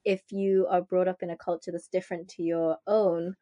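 A woman's voice: lowish voice, flat delivery, normal speed, warm tone, no room sound, muffled recording. Chatty woman host, dry sound. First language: Japanese. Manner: chatty, many "like" fillers, laughs often. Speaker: podcast host. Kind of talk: casual chat.

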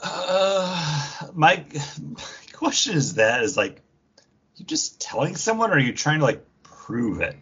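A man's voice: fairly high pitch, big pitch swings, a little slow, a bit bright, slightly rough, highs cut off. A man hosting a casual podcast, bantering in a wry, teasing way as he moves the talk along. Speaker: podcast host.

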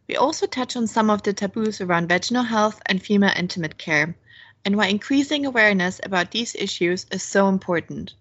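A woman's voice: medium pitch, animated, a little fast, fairly bright tone, dry recording. Woman co-host with a dry lo-fi sound. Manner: reads a prepared intro, then hesitant fillers. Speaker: podcast co-host. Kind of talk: episode intro and interview.

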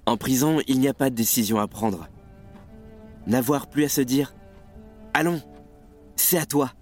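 A man's voice: mid-range voice, unhurried, faint music behind, lightly acted. An actor playing a weary, self-reproaching revolutionary who has lost his nerve, sombre and confessional, in a French radio play. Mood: resigned, bitter. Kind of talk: French radio theatre acting.

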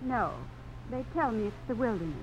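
An actress as a theatrical young society belle. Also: female voice, a little high, lively intonation, fairly quick, a little hiss or hum, natural voice, low-quality recording. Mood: wistful, doubtful. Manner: theatrical, coquettish, haughty. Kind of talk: 1950s radio drama acting. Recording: old radio broadcast with music.

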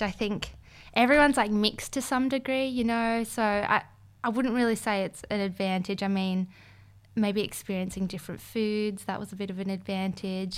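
Chatty young woman, smooth voice. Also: mid-range voice. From Australia. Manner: chatty, filler-heavy, laughing easily. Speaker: podcast guest. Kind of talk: casual chat.

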